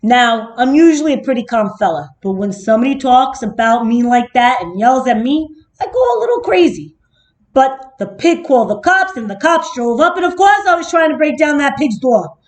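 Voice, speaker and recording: A woman's voice: sits high, big pitch swings, moderate pace. A woman voicing a self-justifying, slyly innocent male wolf who tells his side of a children's story with chatty, dramatic indignation. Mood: aggrieved, pleading. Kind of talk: first-person children's storybook narration.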